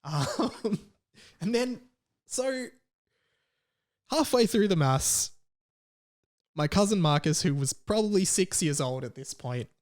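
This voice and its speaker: A man's voice: high voice, lively intonation, slow, pauses often. A man hosting a podcast, telling a personal story casually and comedically, with dramatic emphasis and repetition. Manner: casual swearing. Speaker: podcast host.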